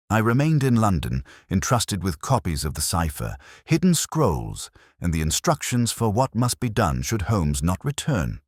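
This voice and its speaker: Very deep-voiced man narrating a mystery. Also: dry recording, studio quality. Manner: solemn, literary, dramatic. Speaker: audiobook narrator. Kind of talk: scripted first-person mystery narration.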